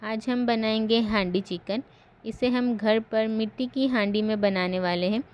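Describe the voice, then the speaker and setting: A woman host giving calm, step-by-step voice-over narration for a home cooking recipe. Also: fairly high pitch, moderate pace.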